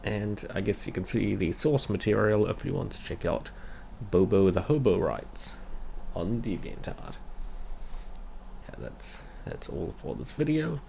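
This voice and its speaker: A man's voice: fairly low pitch, expressive, measured pace, gravelly, warm tone, a little hiss or hum. A man hosting and narrating a comic dub in a casual, story-reading style. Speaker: comic dub reader and channel host. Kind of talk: casual video intro and scripted first-person narration.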